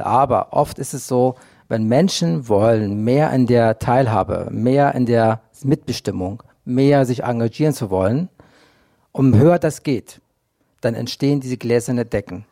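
Male panel guest, gravelly and dark-toned, earnest and appealing in delivery. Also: medium pitch. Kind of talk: panel discussion.

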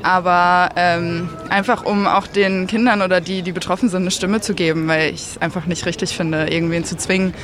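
A woman's voice: lowish voice, little pitch movement, moderate pace, few pauses, a bit bright, soft background music. A woman aged twenty-one, a student, speaking casually in a street interview. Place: outdoors on a city street.

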